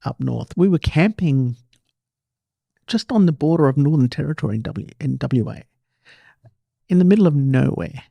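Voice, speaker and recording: An Australian man hosting a podcast, chatting casually and telling stories in an animated way, repeating himself for emphasis. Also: fairly high pitch, moderate pace, vocal fry, long pauses, husky, no echo, highs cut off. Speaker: podcast host. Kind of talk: casual interview chat, storytelling.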